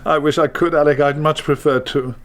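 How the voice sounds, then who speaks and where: An actor playing a wry, sardonic English publisher turned reluctant spy in a radio drama. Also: male voice, a little high, steady tone, fairly quick, fairly bright tone, no room sound, lightly acted.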